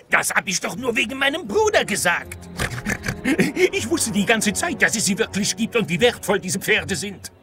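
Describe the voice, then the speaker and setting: An actor playing a haughty, mocking rival who schemes and gloats in a children's cartoon audio drama. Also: male voice, high voice, very animated, normal speed, over music. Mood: smug gloating. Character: smug scheming male riding-school rival, gloating villain.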